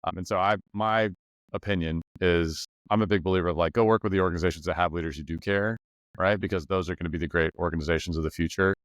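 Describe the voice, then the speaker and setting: Podcast host, male, deep and smooth, chatty, thinks out loud, dry close sound. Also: steady tone, fast talker, no room sound. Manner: talkative, thinks aloud with many "right?" and "like". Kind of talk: casual interview chat.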